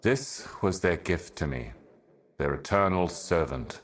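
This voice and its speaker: Otherworldly ageless envoy, video game monologue, very deep pitch, unhurried, grave, weary, brooding. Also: male voice, lively intonation. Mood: dark, ominous. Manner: grave, brooding, measured, portentous. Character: ancient solemn otherworldly envoy, weary eternal servant of cosmic darkness. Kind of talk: scripted game monologue, cryptic poetic narration.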